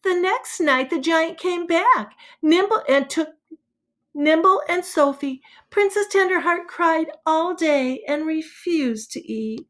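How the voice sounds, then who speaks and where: A woman voicing a prim young girl telling a sentimental fairy tale, expressive and easily indignant, in a storybook read-aloud. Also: extremely high pitch, slow, exaggerated voice. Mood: sad, dramatic.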